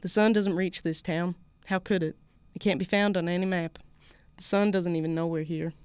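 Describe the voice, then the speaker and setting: A woman's voice: lowish voice, steady tone, moderate pace, a little mellow, smooth, dry recording, close to an everyday voice. A woman voicing a defiant small-town schoolgirl in a put-on Southern US accent, narrating a first-person story. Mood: wry, defiant. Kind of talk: first-person literary fiction read aloud.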